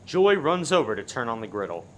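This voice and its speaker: A man's voice: medium pitch, very animated, fairly quick, close to an everyday voice. A man giving scripted narration for a radio play as its narrator.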